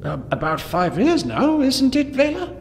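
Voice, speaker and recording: An older father played by a man with a creaky, swooping voice and an anxious, stammering manner, pleading gently in a sci-fi roleplay. The sound rings with long hall reverb. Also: very high-pitched, wildly swooping pitch, moderate pace, exaggerated voice. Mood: flustered, pleading. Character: hesitant, anxious older father fond of his droid friends. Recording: reverberant hall, faint background noise.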